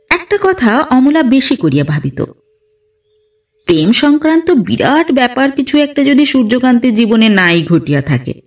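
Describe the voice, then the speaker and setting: A woman narrating a literary story as an audiobook reader, in an expressive, dramatic manner with rhetorical questioning. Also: medium pitch, distant mic. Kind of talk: literary story narration in formal Bengali prose.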